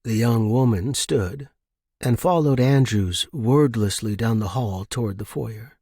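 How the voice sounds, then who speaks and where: A man's voice: medium pitch, measured pace, warm tone, breathy edge, no echo, studio quality. A man hosting a story podcast and reading scripted narration. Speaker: podcast host and story reader.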